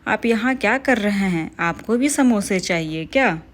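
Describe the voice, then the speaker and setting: A woman voicing a poor, kind mother bird who pleads softly and gently. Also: medium pitch, animated, measured pace, lightly acted. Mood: pleading. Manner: pleading, soft.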